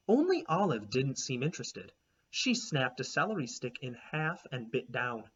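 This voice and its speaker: A man, a teacher, reading a children's chapter book aloud with expressive, animated storytelling. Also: mid-range voice, breathy edge. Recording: dull lo-fi recording.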